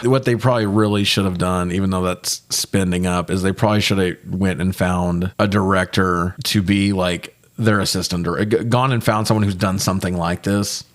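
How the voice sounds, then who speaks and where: Man, lowish voice, podcast co-host, casual film review, profane griping. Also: fairly even intonation, normal speed, few pauses.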